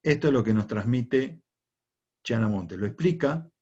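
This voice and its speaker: A man's voice: medium pitch, expressive, unhurried, mellow, highs cut off. A man with an Argentine accent teaching a history class, lecturing conversationally and often checking in with rhetorical questions. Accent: Argentine Spanish.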